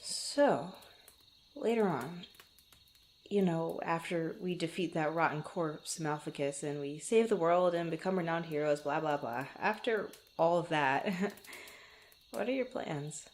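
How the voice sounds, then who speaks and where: A woman playing a proud but tender young female adventurer in an intimate ASMR roleplay, speaking softly and haltingly. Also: low-pitched, a little hiss or hum. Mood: wistful, playful. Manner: halting, stammering, soft-spoken with breathy asides.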